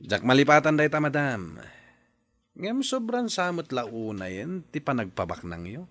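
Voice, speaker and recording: A man's voice: mid-range voice, sing-song, a little slow, a bit bright, no echo. A man playing a nosy young house servant who hesitantly but bluntly questions his rich employer in a radio drama. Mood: probing. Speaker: servant, Dodong.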